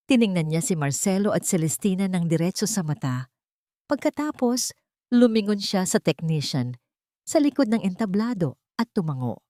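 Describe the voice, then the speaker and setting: A woman narrating a scripted dramatic story in a measured, theatrical storytelling manner. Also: sits low, a little mellow, no room sound, clean studio sound.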